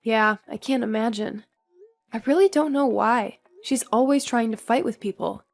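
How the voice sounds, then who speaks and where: A woman acting as a young newlywed wife in an audio story drama, with a fairly high voice. She is patient, dutiful and a little weary, talking politely and evenly over music. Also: moderate pace, creaky. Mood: mildly exasperated.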